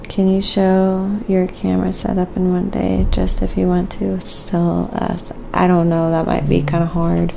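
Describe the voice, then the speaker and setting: A woman with a heavily fry-laden, lowish voice hosts a quiet ASMR painting stream. She murmurs an unhurried commentary on her work and chats to viewers, over clearly audible background noise. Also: monotone. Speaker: live-streaming painter and host.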